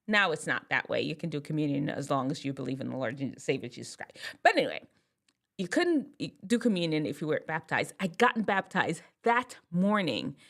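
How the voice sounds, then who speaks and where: A woman from Jamaica chatting casually to camera, telling stories and laughing at herself, with big upward swoops when she gets excited. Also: low voice, fairly quick, vocal fry. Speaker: vlogger.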